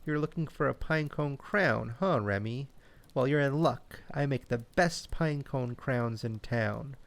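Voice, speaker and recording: A man's voice: medium pitch, measured pace, gravelly. A man voicing a friendly, proud and easygoing robin craftworker in a children's bedtime story.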